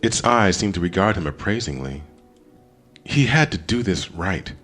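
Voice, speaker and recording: A man narrating an audiobook in a dramatic scripted style, expressive, with deliberate pauses. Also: low-pitched, faint music behind.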